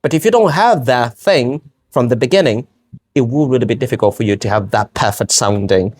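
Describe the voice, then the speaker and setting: A man's voice: mid-range voice, a little fast, a little mellow, no room sound, studio quality. A man teaching English pronunciation on a podcast, speaking with a British-sounding accent, earnest and emphatic, often repeating phrases as he builds his point. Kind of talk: podcast interview answers.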